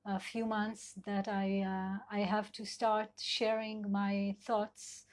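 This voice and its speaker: A middle-aged woman with an Israeli accent, a sex and couple therapist whose first language is Hebrew, giving a live online talk in a gentle, searching way with many fillers. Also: medium pitch, little pitch movement, slow. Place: at home in Israel.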